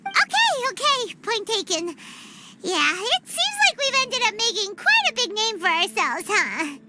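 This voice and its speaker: A woman voicing a tiny, excitable female fairy companion who talks about herself in the third person, in a game cutscene. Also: very high-pitched, unhurried, very noisy, exaggerated voice. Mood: chatty. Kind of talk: video game cutscene dub.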